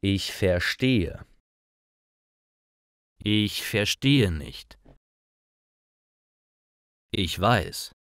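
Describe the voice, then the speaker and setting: A man slowly reading example phrases for beginner learners, each phrase set apart. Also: low-pitched, fairly bright tone, dry recording, studio quality. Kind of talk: scripted example sentences.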